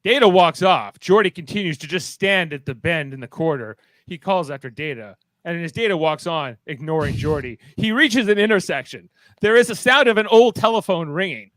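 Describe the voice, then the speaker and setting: Fairly high-voiced man narrating radio play. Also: animated, fairly quick, fairly bright tone, dry recording, poor audio. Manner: informal, playful asides. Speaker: host and narrator. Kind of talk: casual narration of live radio-play script.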